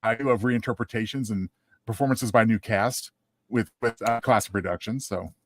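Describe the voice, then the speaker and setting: A man co-hosting a casual chat show, speaking in a measured, polite way. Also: lowish voice, slight background noise. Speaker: show co-host.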